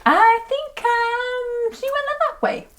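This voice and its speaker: A woman's voice: very high-pitched, steady tone, slow pace, very noisy, voice pushed up. A woman voicing a mysterious Swedish woman who answers in short, vague words, with a put-on Swedish accent. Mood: evasive. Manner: clipped, vague one-word replies.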